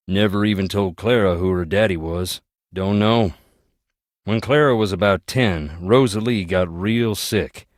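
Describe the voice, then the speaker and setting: A man's voice: low-pitched, no room sound, studio quality. An actor playing a folksy, wry Southern man who hunts ghosts, speaking with a Southern US accent and a drawl in a video game. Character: folksy Southern ghost hunter, wry, haunted by family losses. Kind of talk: video game character dialogue.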